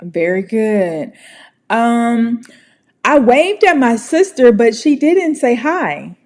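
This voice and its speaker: Slow, friendly American woman teaching. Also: fairly high pitch, big pitch swings, a little mellow. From United States. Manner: friendly, encouraging, slow and deliberate. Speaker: English pronunciation teacher. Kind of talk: casual online pronunciation lesson.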